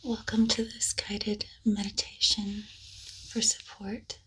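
A woman whispering a guided meditation in a slow, soothing voice, leaving long pauses between phrases. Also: mid-range voice, flat delivery, fairly bright tone, low-quality recording. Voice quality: unvoiced whisper.

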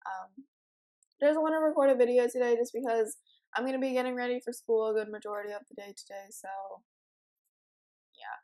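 A teenage girl with a raspy morning voice, chatting casually and giggling while commentating a life-sim game. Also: fairly high pitch, a little fast, pauses often, warm tone, poor audio. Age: teens. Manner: chatty, giggly, rambling with many ums. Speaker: let's play host.

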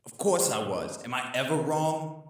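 A man's voice: mid-range voice, lively intonation, moderate pace, background noise, natural voice. Man acting a grumpy crook in echoey space. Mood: bossy, irritated. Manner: brusque, exasperated. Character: bossy, grumpy, conceited male crook. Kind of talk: audio theater acting. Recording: very echoey, music underneath.

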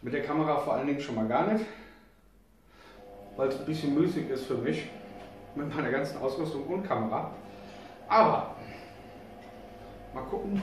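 A man's voice: on the high side, darkish tone. A middle-aged man from Germany giving a casual, rambling gear review in a joking, colloquial manner. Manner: informal, joking, colloquial with mild swearing. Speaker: vlogger reviewing outdoor gear. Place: concrete basement laundry room, indoors. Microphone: camera mic a few steps away. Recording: echoey room, distant camera, background noise.

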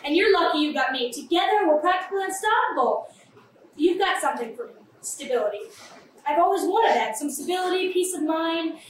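A woman acting a glib schemer, very high-pitched, echoey. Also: fairly quick. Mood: gleeful, scheming. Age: young adult. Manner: animated, flippant, theatrical. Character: glib, manipulative, callous woman with a morbid streak. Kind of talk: staged play reading, theatrical. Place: small café, live staged reading. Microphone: far camera. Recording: echoey, distant camera mic, faint noise.

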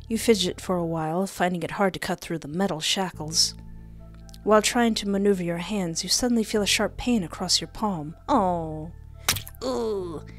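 A young adult woman hosting a gaming video, switching between reading text aloud and casual commentary on a close mic. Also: mid-range voice. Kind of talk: let's play commentary with dramatic read-aloud narration. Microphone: close desk microphone with headset. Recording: music behind.

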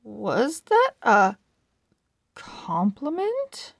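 A woman's voice: fairly high pitch, huge pitch swings, drawn-out words, vocal fry, dry recording, clean studio sound, exaggerated voice. A woman voicing an eager, put-upon little male pug from a children's storybook, earnest and hesitant.

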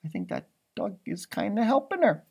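A man in his thirties reading a children's storybook aloud, expressive, with chatty asides and drawn-out emphasis. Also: sits high, vocal fry, slightly rough. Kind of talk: animated read-aloud with casual asides.

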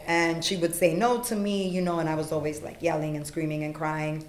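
A middle-aged woman born in Puerto Rico, a podcast guest telling her life story frankly, with plenty of ums and you-knows, on a desk boom mic in a small studio. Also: flat delivery, brisk, roomy sound, a little hiss or hum. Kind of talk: candid life story in conversation. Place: small studio room with acoustic panels.

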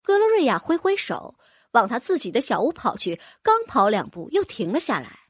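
A woman narrating a fantasy audiobook in an expressive storytelling manner. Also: on the high side, normal speed, slightly rough, no echo, studio quality. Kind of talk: scripted narration.

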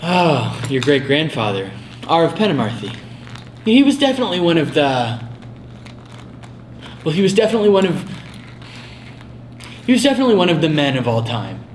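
A man's voice: on the high side, very animated, moderate pace, pauses often, a little hiss or hum. A man acting as a theatrical, pompous school elder with a British accent in an amateur comedy skit. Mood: grandly chatty. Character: elder, undead former student cursed to answer history questions.

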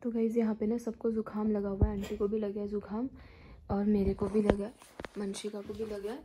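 A woman's voice: mid-range voice, flat delivery, normal speed, background noise. A young woman with a sore throat and a cold, chatting casually to her phone camera in a small bedroom at home, rambling and mixing in English words. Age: young adult. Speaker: vlogger. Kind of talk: casual vlog chat to camera. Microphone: phone camera at arm's length.

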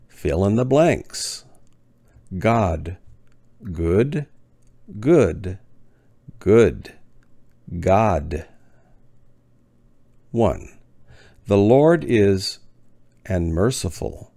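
Brief: deep gravelly male teacher, slow and deliberate, lively pitch. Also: no echo, clean studio sound. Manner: spaced-out words with long pauses, clear enunciation. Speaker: ESL teacher. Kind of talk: slow, deliberate lesson reading.

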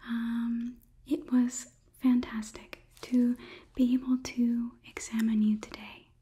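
A woman in her twenties softly whispering in a breathy, calm, clinical ASMR roleplay as a polite, condescending robot overlord examining a human. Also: on the high side, slow pace. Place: small indoor studio room. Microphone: close ASMR microphone.

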